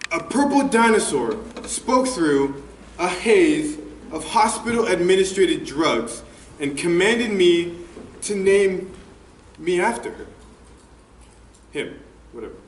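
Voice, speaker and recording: A high, animated boy's voice acted by a young man carries from a distance in an echoey theater. He is plaintive and exasperated, pausing for effect in a comic stage monologue. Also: exaggerated voice. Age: teenager or young adult. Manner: deliberate, plaintive, dramatic pauses. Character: aggrieved, exasperated boy who hates his own name. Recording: echoey theater, distant mic, faint noise.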